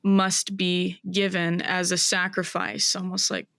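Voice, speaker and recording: Smooth-voiced woman in her twenties. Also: lowish voice, little pitch movement, a little slow, fairly bright tone, no room sound. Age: 20s. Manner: thoughtful, searching, with many fillers and restarts. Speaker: guest. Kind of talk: reflective interview conversation.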